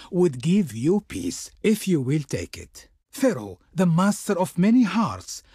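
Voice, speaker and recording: A grand Egyptian court diplomat for a strategy game. His voice is fairly high and a little husky, with hugely theatrical swoops in pitch. He declaims with pompous ceremony, haughty toward foreigners and fawning toward his Pharaoh. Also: darkish tone, no echo, clean studio sound, full character voice. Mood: solemn, imperious.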